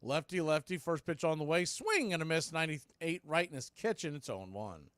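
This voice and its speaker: Higher-voiced man calling baseball play-by-play. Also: sing-song, brisk, fairly bright tone, dry recording. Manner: punchy clipped calls with conversational asides. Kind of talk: live radio play-by-play commentary.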